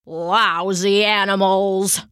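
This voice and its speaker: A woman voicing a grumpy but soft-hearted old man with bad teeth in a children's story, grumbling and drawing out the words. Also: mid-range voice, sing-song, dry recording, clean studio sound, full character voice. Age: older. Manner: grumbling, slow, drawn-out delivery. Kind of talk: acted children's storybook character.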